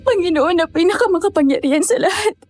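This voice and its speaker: A woman's voice: very high-pitched, expressive, fast, a little mellow, slight background noise. A woman playing a terrified but defiant young captive in a horror story, crying out and pleading tearfully.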